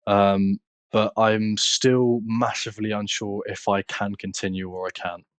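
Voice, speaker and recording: A nineteen-year-old man with a British accent, speaking casually and haltingly as an interview guest. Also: on the low side, flat delivery, clear, resonant tone, no room sound. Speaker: podcast guest, content creator.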